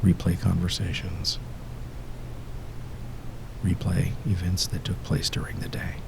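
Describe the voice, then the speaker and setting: A deep male voice with a gravelly fry guides the listener toward sleep as a hypnotist. He speaks in short, measured, soothing phrases with pauses, the endings softening almost to a whisper. A noticeable bed of rumbling, ticking noise runs behind him. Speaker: hypnotist guiding a sleep session. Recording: steady background noise, low rumble and ticking. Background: ticking clock-like rhythm.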